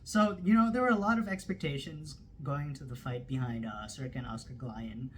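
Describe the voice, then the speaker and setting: A man's voice: mid-range voice, fairly quick, a bit bright, slight background noise. A man hosting a solo combat sports podcast, recapping fight results in a casual, unscripted way with plenty of fillers and self-corrections. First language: not Turkish. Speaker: podcast host. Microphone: phone camera.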